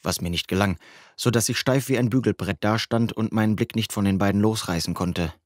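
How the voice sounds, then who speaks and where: Audiobook narrator: man with a fairly low voice, very dry studio sound, first-person youth fiction. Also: brisk, no room sound.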